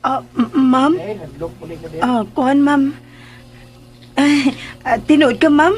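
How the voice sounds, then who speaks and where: A woman's voice: sits high, steady tone, slow. A woman playing a timid, flustered young housemaid in a radio drama, hesitant and stammering, with a Bisaya accent. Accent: Filipino, Bisaya.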